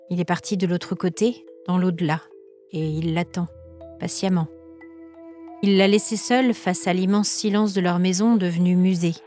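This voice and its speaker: A woman narrating a scripted supernatural story as a podcast host, in a measured, literary and suspenseful storytelling manner. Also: on the low side, mellow.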